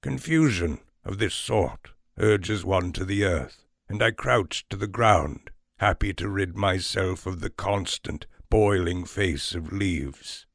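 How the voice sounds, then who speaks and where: A man narrates literary prose at an unhurried, slow pace. His voice has a heavy creak at the edges, and he sets out each phrase deliberately. The sound is dry, with no room around it. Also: low voice, dry recording. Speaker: storyteller and podcast host.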